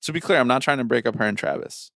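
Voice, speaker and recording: A man's voice: mid-range voice, fast, creaky, fairly bright tone, no room sound, clean studio sound. A man co-hosting a podcast in casual banter, with a teasing, opinionated way of talking. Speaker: podcast co-host.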